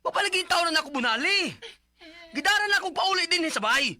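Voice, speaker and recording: A man's voice: very high-pitched, animated, fast, sharp tone, full character voice. A man playing a strict, short-tempered, aggrieved stepfather in a radio drama. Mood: angry scolding. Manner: scolding, emphatic. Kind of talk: Philippine radio drama acting.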